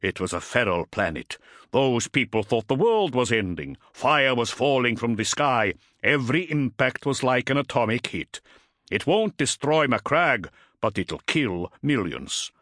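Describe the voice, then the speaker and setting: A man voicing a gruff, sceptical, battle-hardened warrior in an audiobook, with a growling, blunt and forceful delivery. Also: mid-range voice, lively intonation, unhurried, no room sound, clean studio sound, voice pushed up. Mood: grim.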